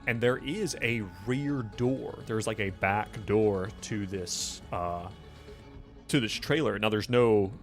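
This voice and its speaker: A man running a tabletop game as game master, improvising the scene in an informal, halting, teasing way. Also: on the low side, fairly bright tone.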